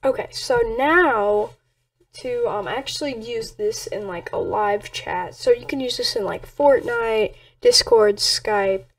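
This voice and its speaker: High voiced woman doing a casual how-to, kinda halting and unsure. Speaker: tutorial presenter. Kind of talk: casual screen-recorded software tutorial.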